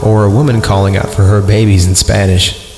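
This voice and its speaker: A man's voice: lowish voice, level tone, fairly quick, a little mellow. A man reading a story aloud as a podcast host, irreverent and crude, breaking off into sarcastic comic asides. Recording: roomy echo with music behind. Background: music.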